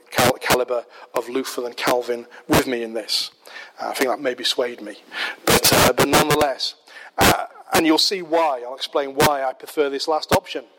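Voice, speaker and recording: Yorkshire preacher over loud noise. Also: male voice, medium pitch. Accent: Yorkshire English. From Yorkshire, England. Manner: measured, explanatory, with frequent "uh" fillers. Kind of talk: sermon.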